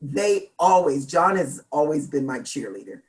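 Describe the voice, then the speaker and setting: Middle-aged woman, deep gravelly voice, relaxed and jokey interview guest. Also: measured pace. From Seattle, United States. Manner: chatty, laughs often, jokes about herself. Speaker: guest singer. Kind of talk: casual interview chat.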